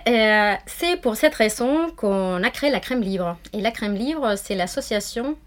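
A woman's voice: medium pitch, lively intonation, unhurried. A woman in her forties from Argentina with an Argentine accent, a brand founder speaking in a casual interview, hesitant and full of fillers. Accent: Argentine Spanish.

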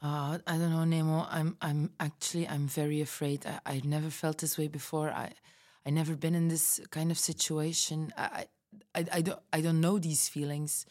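A woman's voice: deep voice, flat delivery, moderate pace, darkish tone, close to an everyday voice. A woman playing a frightened woman overwhelmed by unfamiliar feelings in a fiction podcast, hesitant and repeating her words. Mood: afraid, lost. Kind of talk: fiction podcast roleplay as interview.

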